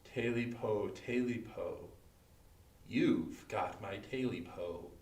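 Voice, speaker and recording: A man voicing an eerie scaled night creature in a storyteller's folktale, with a drawn-out, haunting chant. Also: on the low side, a little mellow, voice pushed down. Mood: ominous, hushed. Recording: roomy, echoing, distant microphone.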